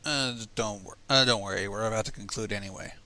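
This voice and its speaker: A man's voice: on the low side, fast. A man hosting a livestream fan fiction reading, narrating expressively and breaking off into casual, exasperated commentary. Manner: expressive, exasperated asides, swearing.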